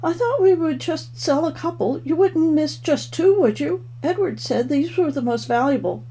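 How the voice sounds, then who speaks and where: A woman's voice: sits high, normal speed, mellow, voice pushed up. A woman voicing a timid, flustered stepmother in a storybook reading, with a pleading, apologetic manner. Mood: meek, pleading. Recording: clearly noisy home recording.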